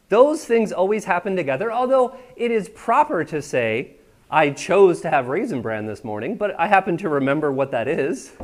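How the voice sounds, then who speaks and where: A man preaching a sermon in a church, talking with the congregation in a conversational way and often checking that they are following. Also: on the high side. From United States. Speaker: pastor. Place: church sanctuary.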